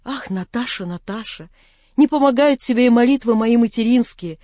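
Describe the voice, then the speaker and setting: A narrator voicing a devout old mother in an audiobook, tender, lamenting and gently reproachful. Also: medium pitch, moderate pace, dry recording, close to an everyday voice. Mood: sorrowful reproach.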